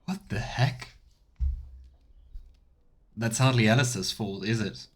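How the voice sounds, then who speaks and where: A man's voice: on the low side, slow. A man streaming a video game with casual let's play commentary, making wry, sarcastic asides.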